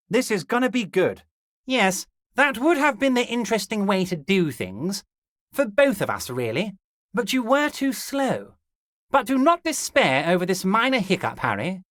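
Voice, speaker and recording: A man voicing a scheming, smarmy middle-aged union boss in a video game, ingratiating and slow with oily reassurances that carry a veiled threat. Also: high voice, a bit bright, no echo, studio quality. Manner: ingratiating, keeps repeating the listener's name. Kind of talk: recorded game dialogue lines.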